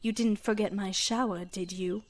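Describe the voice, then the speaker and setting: A woman's voice: mid-range voice, fairly even intonation, normal speed, natural voice. A woman playing a sadistic, haughty mage villain in an audio drama, with a mocking, theatrical delivery. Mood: smug. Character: sadistic gloating female weather-mage villain, taunting and haughty.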